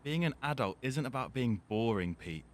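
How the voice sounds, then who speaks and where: A man's voice: lively intonation, moderate pace, natural voice. A young man playing an earnest, troubled teenage lad burdened by growing up, reflective in manner, in an amateur audio drama. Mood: earnest, reproving. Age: young adult. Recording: noisy amateur recording, environmental rumble.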